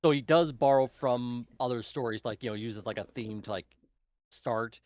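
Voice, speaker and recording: Man, medium pitch, dry acoustic, halting casual book recommendation. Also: a little mellow, dry recording. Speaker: book club member. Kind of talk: casual chat, recommending books.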